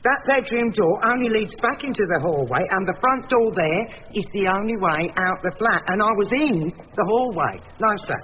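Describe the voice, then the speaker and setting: A woman's voice: medium pitch, animated, normal speed, hardly any pauses, natural voice. Actress as blunt, adamant woman concierge, echoing. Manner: curt, certain, defensive. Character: blunt, adamant female concierge as prosecution witness. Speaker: witness, concierge. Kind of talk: radio drama courtroom acting. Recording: echoing hall, dull sound, faint noise.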